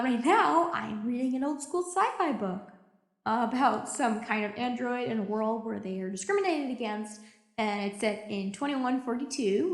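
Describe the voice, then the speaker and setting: Audio drama: actress as isolated female deep-sea station survivor, high register, anxious and jaded, halting confessional monologue, echoey room. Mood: wry, musing. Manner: rambling, halting, stammering, self-interrupting.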